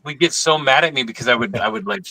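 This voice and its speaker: A man chatting casually on a panel, telling stories in a rambling, animated way with some swearing. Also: mid-range voice, fast talker, low-quality recording. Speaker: panel guest.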